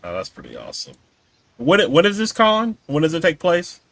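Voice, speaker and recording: A man's voice: on the high side, huge pitch swings, normal speed, vocal fry, fairly bright tone. A man hosting a podcast in a casual, laid-back, informal way. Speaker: podcast host. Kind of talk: casual chat.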